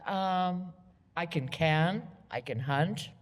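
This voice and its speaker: A woman's voice: fairly low pitch, slow, big-room sound. Wry older american woman. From United States Midwest. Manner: wry, teasing, blunt. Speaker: guest. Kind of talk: live onstage conversation. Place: outdoor terrace event.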